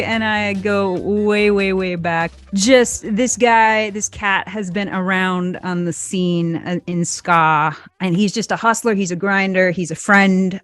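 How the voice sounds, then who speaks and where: A woman's voice: lowish voice, dry recording, studio quality. A woman hosting an interview, chatting in an enthusiastic way and telling anecdotes about her guest. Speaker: host. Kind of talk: casual interview chat.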